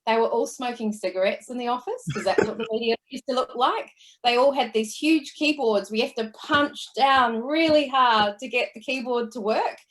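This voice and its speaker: A woman's voice: fairly high pitch, normal speed, a bit bright. Chatty New Zealand woman. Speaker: guest, entrepreneur. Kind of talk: casual interview chat.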